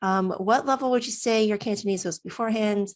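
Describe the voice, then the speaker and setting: A woman in her thirties giving a casual, self-recorded testimonial in a chatty, expressive way, often voicing her own thoughts. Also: mid-range voice, fairly quick.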